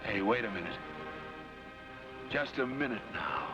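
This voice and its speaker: An actor playing a steady, easygoing cowboy ranger in a dull old radio drama with music behind. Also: male voice, lowish voice, unhurried. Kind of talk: 1940s radio acting.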